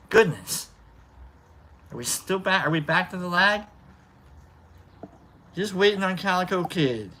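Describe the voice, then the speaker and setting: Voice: medium pitch, sharp tone, a little hiss or hum. A live stream host with a nasal voice, chatting casually and rambling while greeting viewers by name. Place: outdoor lounge by a fire pit.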